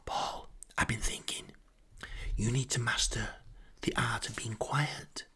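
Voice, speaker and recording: A man's voice: medium pitch, steady tone, takes it slowly, bright, forceful tone, heavily acted. Man as a whispering, earnest woman. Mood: hushed, earnest. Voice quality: breathy whisper. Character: quiet, earnest woman who whispers everything.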